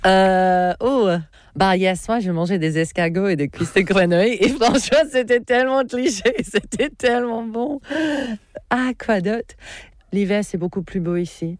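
A woman's voice: medium pitch, measured pace, dry recording, studio quality. A young Australian woman, a native English speaker, chatting as an interview guest in French. She laughs often and stops to search for words. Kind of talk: casual interview chat.

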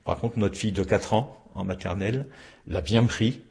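A man with a southern French accent telling family stories in a relaxed, chatty way, with chuckling asides. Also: on the low side, normal speed, vocal fry. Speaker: interviewee. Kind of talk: casual reminiscing. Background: music.

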